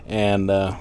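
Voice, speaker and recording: A man's voice: deep voice, darkish tone, slight background noise. A man in his fifties chatting casually in a rambling vlog, full of fillers and little laughs. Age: 50s. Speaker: vlogger.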